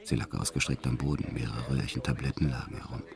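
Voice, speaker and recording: A hardened, violent gangster tells his own story in a radio drama. His voice is extremely deep. He recounts brutal deeds in a measured, matter-of-fact way, with tenderness underneath. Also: fairly even intonation, gravelly, a crowd in the background. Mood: subdued, brooding. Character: violent male career criminal confessing his life, brutal yet tender. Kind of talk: first-person radio play narration.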